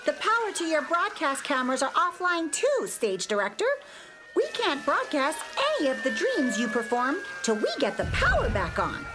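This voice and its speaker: A woman's voice: high-pitched, sing-song, normal speed, music in the background, rough recording. A woman playing the eager first mate of a flying dream ship in a children's radio play, theatrical and earnest. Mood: worried reporting.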